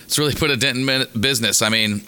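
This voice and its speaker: A man with a raspy, hoarse voice, worn out from singing, chats casually as a podcast co-host. He rambles from joke to joke and restarts his sentences a lot. His tone is very bright and hard-edged, at an ordinary pitch. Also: fast. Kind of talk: casual chat.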